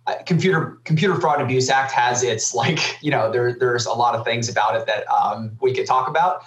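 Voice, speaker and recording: A man's voice: mid-range voice, brisk, a bit bright, dull audio. Male guest, hedging and thoughtful, mid voice, roomy echo on the recording. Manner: thoughtful, hedging, many fillers. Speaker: guest, former army cyber officer. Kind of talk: casual podcast discussion. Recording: echoey room.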